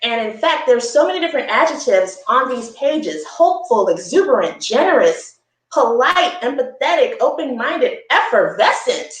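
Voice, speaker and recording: Lady doing kids storytime, really animated voice, kinda high, explains stuff simply, echoey room. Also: normal speed. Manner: expressive, encouraging, explaining things simply to young listeners. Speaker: storytime host reading a picture book aloud. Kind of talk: children's read-aloud with chatty asides.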